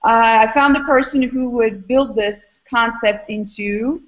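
A middle-aged woman with a fairly high voice explains her work as an interview guest. She is from Istanbul and phrases things in a measured, academic way. The audio is a little dull and lo-fi. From Istanbul, Turkey. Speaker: interview guest, startup founder and former academic.

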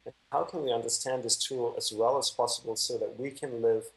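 A man from Malaysia, a podcast guest over a video call, answering questions in calm, measured storytelling. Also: mid-range voice, low-quality recording. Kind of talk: conversational interview answers.

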